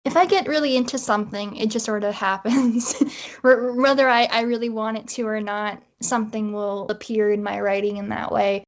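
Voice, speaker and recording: A woman's voice: on the high side, steady tone, moderate pace. A woman speaking reflectively and a little tentatively in a casual conversation about her work. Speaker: panel participant, creative writer. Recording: dull lo-fi audio.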